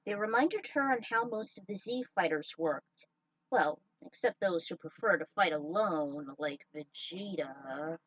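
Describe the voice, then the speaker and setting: A woman's voice: mid-range voice, dry recording, far from the mic, muffled recording. Woman narrating a story, close and dry. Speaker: narrator reading own fan fiction. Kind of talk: scripted story narration with casual asides.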